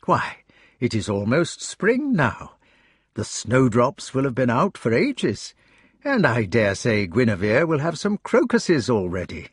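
A man doing a gentle old king. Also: mid-range voice, wildly swooping pitch, a little slow, breathy edge, no echo, studio quality, exaggerated voice. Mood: soothing. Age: older. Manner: soothing, kindly, soft.

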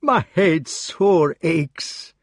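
A man's voice: mid-range voice, animated, a little slow, slightly rough, dry recording, clean studio sound. A man doing a weeping Scottish knight. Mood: despairing. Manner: tearful, strained, despairing. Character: wounded, weeping burly male Scottish knight.

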